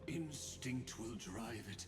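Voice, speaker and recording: A man voicing a cold, scheming sage in a video game, speaking in a slow, theatrical, menacing manner. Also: mid-range voice, animated. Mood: grave, intense. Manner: slow, deliberate, theatrical delivery. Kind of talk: dramatic video game dialogue. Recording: long reverb, music underneath.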